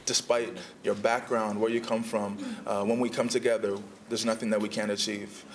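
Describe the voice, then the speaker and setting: A man from the organizing team speaking in a measured, sincere way. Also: on the low side, little pitch movement, a little hiss or hum. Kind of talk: interview and speech.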